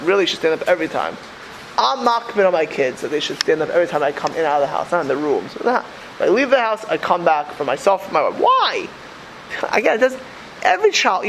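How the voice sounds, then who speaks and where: An animated rabbi in his thirties teaching a class, his voice riding high and swinging widely in pitch. He stumbles over repeated words and restarts often, laughing between points. A faint hiss sits behind him. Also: low-quality recording. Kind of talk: Torah class talk.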